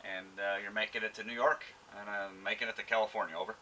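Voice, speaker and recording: A man working as an amateur radio operator, talking casually and haltingly in radio procedure words and callsigns. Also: lowish voice, little pitch movement. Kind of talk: casual ham radio contact with on-camera commentary. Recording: loud radio static and noise. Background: radio receiver noise and static.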